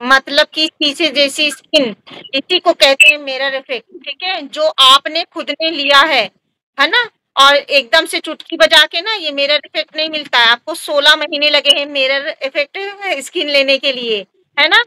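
A woman vlogger speaks in a high, bright voice. She gives casual skincare-style advice straight to viewers, hammering points home by repeating them and adding little check-in questions. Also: fairly even intonation, moderate pace, no echo. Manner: emphatic, repetitive, with frequent tag questions. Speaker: vlogger and skin treatment provider.

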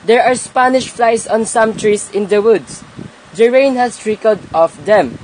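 An amateur actor playing a kindly, pious old peasant uncle in a radio play, folksy and gently chiding. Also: medium pitch, unhurried, lightly acted. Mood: instructive. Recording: noisy amateur home recording.

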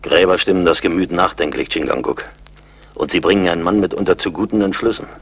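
An actor playing a seasoned, steady frontier woodsman in a radio play, with a husky voice and a dry, thoughtful manner. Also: low voice, fast talker. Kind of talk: German radio play acting.

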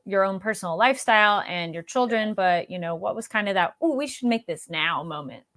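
A woman's voice: medium pitch, animated, fairly quick, a bit bright. A woman hosting an interview over a video call, asking questions in a casual, chatty way. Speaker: interview host.

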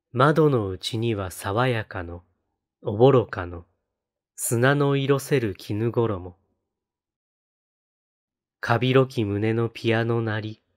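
A man reciting poetry in a solemn style, with measured, deliberate phrasing and pauses between lines. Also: lowish voice. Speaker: poetry reader on a read-aloud channel.

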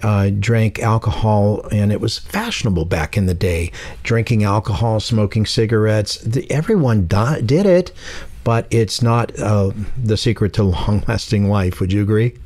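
Male podcast host, mellow and low, easygoing interviewer. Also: normal speed. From San Francisco Bay Area. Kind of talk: casual interview.

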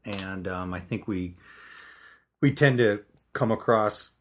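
A man speaking as an interview guest, thoughtful and measured. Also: fairly low pitch. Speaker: guest, creative director. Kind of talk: casual conversational interview.

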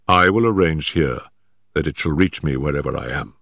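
A man doing a crisp, commanding detective. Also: a bass voice, normal speed, a bit bright, no room sound, clean studio sound, heavily acted. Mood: urgent. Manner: crisp, emphatic, assured. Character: sharp, commanding male detective, middle-aged.

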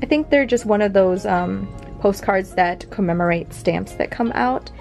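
A woman's voice: medium pitch, moderate pace, vocal fry, darkish tone, faint music behind. A young woman chatting casually as she shows off old paper collectibles, rambling with fillers and little laughs. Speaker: vintage collection vlogger. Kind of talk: casual show-and-tell narration. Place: home near a big airport.

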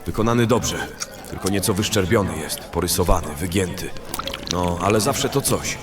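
A man's voice: low voice, animated, moderate pace. Man acting a brash, foul-mouthed adventurer over music. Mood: casual. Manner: coarse, boastful, grumbling. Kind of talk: fantasy audio drama acting.

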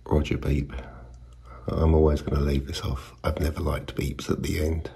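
Husky, very deep-voiced man. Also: little pitch movement, normal speed, mellow. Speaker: video maker, CB radio hobbyist. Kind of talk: casual unscripted demonstration.

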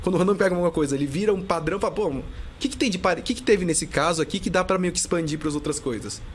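A man in his thirties speaks as a podcast guest in a rapid, animated stream, full of restarts. His voice sits a bit high for a man, and his words tumble out quickly. A faint noise floor runs under the recording. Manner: fast, animated talk full of restarts and fillers. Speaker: guest, customs tax auditor. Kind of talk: casual podcast interview.

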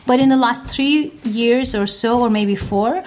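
A woman's voice: on the high side, darkish tone, rough recording. A woman from Turkey, a science podcaster answering interview questions with many fillers. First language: Turkish. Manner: many fillers, talks in quick bursts.

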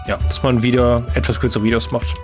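A man's voice: medium pitch, little pitch movement, fast, soft background music. A man giving casual commentary as the host of a let's play.

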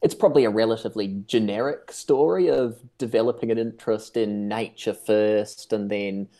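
A young man from New Zealand, raised in Tasmania, giving articulate, measured answers as a podcast guest over a video call. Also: fairly low pitch, warm tone. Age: young adult. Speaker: guest, seabird researcher. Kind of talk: conversational interview answers. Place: room at home, video call.

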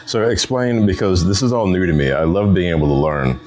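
A man's voice: sits low, fairly quick, a little mellow. A middle-aged American man chatting casually as a vlog host in a laid-back, folksy way. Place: covered truck stop lot, then small shop. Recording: noisy location sound, vehicle ambience.

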